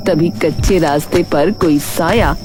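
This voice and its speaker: A woman's voice: low-pitched, unhurried. A woman reading scripted storybook narration for a cartoon tale. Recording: music behind the voice. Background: music.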